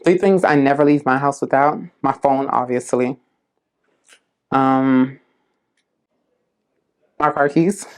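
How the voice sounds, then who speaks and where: A woman's voice: very deep voice, fairly even intonation. Earnest woman answering interview questions. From South Florida, US. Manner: unhurried, many "um"s and "like"s, earnest. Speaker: community case manager. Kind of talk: casual interview answers to prompts.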